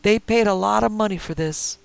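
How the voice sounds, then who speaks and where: Guy putting on a gruff greedy old man with a shotgun, threatening drawl, high and gravelly. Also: fairly quick, no echo. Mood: cold.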